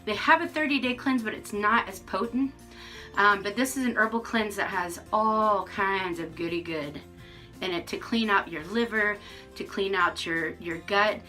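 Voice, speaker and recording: A woman's voice: mid-range voice, normal speed, pushed and bright, faint music behind. A woman in her forties giving a casual, frank vlog update to camera from her rural home, with lots of ums and restarts. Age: 40s. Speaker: vlogger.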